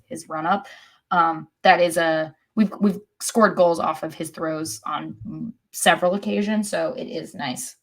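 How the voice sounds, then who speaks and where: A woman co-hosting a podcast, giving casual soccer analysis in a measured, hesitant way as she thinks aloud. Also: on the low side, fairly bright tone. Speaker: podcast co-host.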